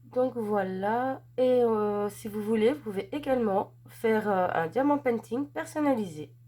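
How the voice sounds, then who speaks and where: A woman's voice: fairly high pitch, a little slow, warm tone. Chatty woman with a head cold. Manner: chatty, gushing, filler-heavy. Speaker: crafts channel host. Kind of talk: casual unboxing chat.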